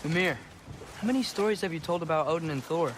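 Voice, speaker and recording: An actor playing a curious, eager teenage son in a video game. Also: male voice, on the high side, animated, fast talker, close to an everyday voice. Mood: playful, curious. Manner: inquisitive, youthful. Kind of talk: naturalistic video game dialogue. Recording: game ambience noise behind voice.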